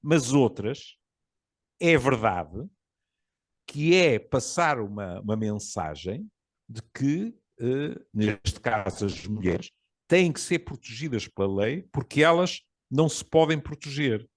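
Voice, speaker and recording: A man in his seventies with a European Portuguese accent, telling stories in a relaxed conversation, reflective and pausing often. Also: mid-range voice. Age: 70s. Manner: storytelling, reflective, with frequent pauses and fillers. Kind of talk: casual conversation.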